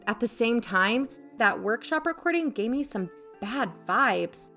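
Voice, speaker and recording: A woman's voice: on the high side, expressive, measured pace, bright, forceful tone, soft background music, heavily acted. A woman playing a wary, protective aunt in an audio drama, confiding and dryly skeptical. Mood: wary, wry. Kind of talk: first-person audio drama narration.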